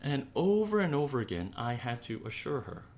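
A man preaching a sermon as a pastor, speaking in a measured, earnest way with deliberate pauses and repetition. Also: mid-range voice, sing-song, darkish tone.

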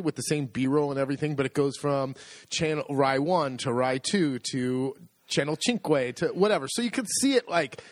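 A man's voice: fairly high pitch, no room sound. A man co-hosting a casual podcast, explaining things at length with asides. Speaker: podcast co-host. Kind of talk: casual chat. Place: home in rural Italy.

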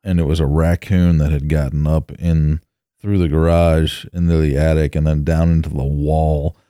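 A male podcast host with an extremely deep, dark voice, made heavier by allergies. He talks in a relaxed, easygoing way while telling a story. The sound is dry and close. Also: normal speed, dry recording. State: allergies, voice deeper than usual. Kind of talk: casual podcast chat.

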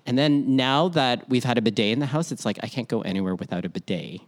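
A man born in the Philippines and raised in Toronto, co-hosting a podcast with animated, joking chat. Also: medium pitch, fast. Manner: animated, bragging jokingly, laughs. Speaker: podcast co-host.

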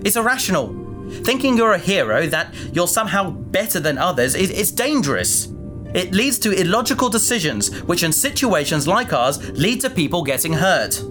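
A sceptical, rational crew mate in a sci-fi audio drama, acted by a man, argues in articulate, emphatic phrases. The pitch rises and falls widely, and music plays clearly behind. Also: very animated, normal speed. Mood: frank, reasoning.

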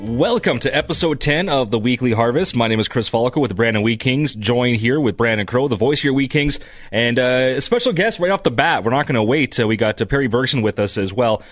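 A man's voice: medium pitch, animated, fairly quick, fairly bright tone, soft background music. A man hosting a casual sports podcast in an upbeat way. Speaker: podcast host.

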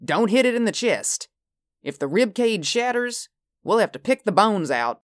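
A woman's voice: mid-range voice, big pitch swings, moderate pace, no echo, clean studio sound, voice pushed up, lightly acted. A woman voicing an agitated, anxious male spaceship crewman in a story, urgent and emphatic. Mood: tense. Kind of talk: storybook character acting.

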